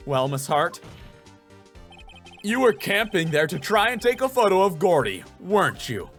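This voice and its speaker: A man's voice: high voice, sing-song, measured pace, fairly bright tone, faint music behind, voice pushed up, exaggerated voice. A man voicing an earnest young defense lawyer in a video game, speaking emphatically. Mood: determined.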